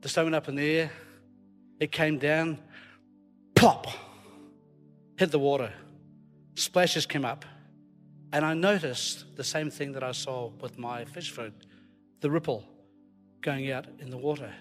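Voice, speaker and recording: Scottish preacher in a reverberant hall. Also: male voice, fairly high pitch, steady tone, a little slow, pauses often, fairly bright tone, faint music behind. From Scotland. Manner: jokey, self-deprecating storytelling. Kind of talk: informal sermon to a congregation. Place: large hall, live before a congregation. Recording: long hall reverb, live church recording.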